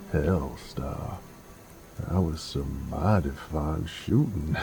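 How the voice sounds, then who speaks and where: A man acts out a roguish werewolf gunslinger with a Southern American Western drawl. He speaks extremely slowly in a low voice, teasing and theatrical, with music behind him. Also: full character voice. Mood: amused, flirtatious. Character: flirtatious, roguish werewolf bounty hunter in a Western. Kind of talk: Western audio roleplay acting.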